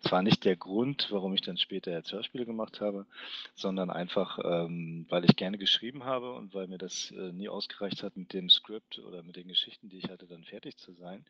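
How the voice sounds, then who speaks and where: A man's voice: low-pitched, bright, forceful tone, slightly rough, dry recording. A man chatting casually as a podcast guest in a self-deprecating way, through a slightly dull microphone. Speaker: podcast guest, audio editor and voice actor. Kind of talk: casual chat.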